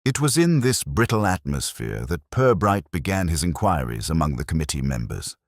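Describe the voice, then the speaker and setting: A man reading a scripted mystery story in a measured, wry, literary manner. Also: very deep voice, very animated, no room sound, studio quality. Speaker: narrator. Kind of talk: scripted mystery audiobook narration.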